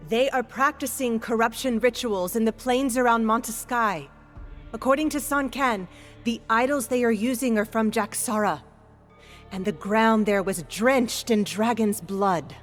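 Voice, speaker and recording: An actress playing a cautious, grave counselor in a fantasy game drama. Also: female voice, fairly high pitch, a little slow, faint music behind, lightly acted. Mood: grave, worried.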